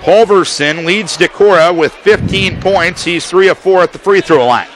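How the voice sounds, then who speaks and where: A man calling live basketball play-by-play for radio, firing off rapid calls that rise to shouts on big plays, in a gym full of people. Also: high-pitched. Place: high school gym with a crowd. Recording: loud crowd and gym noise. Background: crowd and gym noise.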